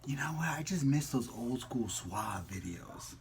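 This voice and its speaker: A man's voice: mid-range voice, expressive, unhurried, a little hiss or hum. A man hosting a casual mukbang vlog, chatting in a jokey, slangy, playful way while eating.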